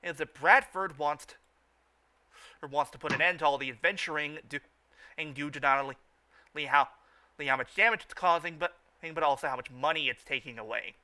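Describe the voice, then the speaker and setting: A man with a fairly high, very bright and forceful voice hosts casual fan commentary. He stammers often, circling back to repeat phrases before finishing a thought. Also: a little fast. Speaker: host of a fan riffing show.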